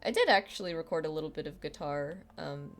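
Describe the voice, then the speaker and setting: A woman's voice: low-pitched, lively intonation, a little fast. A young woman with a youthful, girlish voice, chatting casually on a live stream, rambling and self-deprecating with lots of restarts and fillers.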